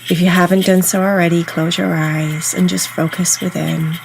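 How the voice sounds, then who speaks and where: A woman guiding a meditation in a soothing, unhurried, instructional way, leaving pauses between phrases. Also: lowish voice, flat delivery, creaky, background noise. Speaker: meditation guide. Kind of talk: guided meditation narration. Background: soft ambient meditation music.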